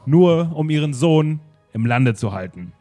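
A man acting a detective in an improvised radio play, calmly laying out the case. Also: a little high, unhurried, soft background music. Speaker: improv actor playing the detective.

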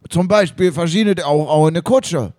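A man's voice: fairly high pitch, moderate pace, a little mellow, no echo, close to an everyday voice. Man playing a fumbling police chief, dry sound. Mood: eager, confused. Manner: hesitant, losing his thread. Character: fumbling, admiring male police chief, eager but slow to follow. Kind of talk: improvised German audio drama.

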